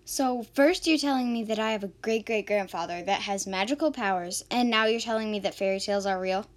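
A woman's voice: medium pitch, fairly quick, lightly acted. A woman playing a sassy, sceptical young woman in an amateur radio drama, sarcastic and indignant.

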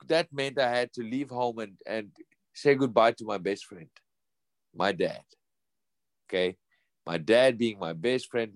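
Man in his thirties, boxer, earnest, halting, lowish voice, dry close sound. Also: dry recording. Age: 30s. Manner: earnest, halting, repeats words. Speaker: professional boxer. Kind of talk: interview answers.

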